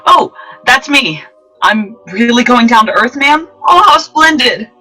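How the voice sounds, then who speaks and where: An apprentice angel in an old-time radio play, acted by a woman. The voice is bright and fairly high, with big swoops of pitch. The manner is gushing, polite and eager to please. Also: animated, a little slow, faint music behind, exaggerated voice, low-quality recording. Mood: delighted, eager. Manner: gushing, deferential.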